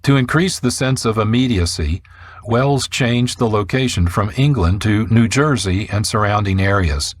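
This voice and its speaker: A man's voice: on the low side, moderate pace. A man reading scripted documentary narration as the narrator of a radio programme.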